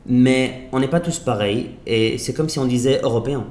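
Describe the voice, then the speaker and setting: A man's voice: mid-range voice, normal speed, a little mellow. A man who grew up in Belgium, hosting a casual podcast interview and speaking in an earnest, reflective, slightly hesitant way. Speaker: podcast host. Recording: roomy echo.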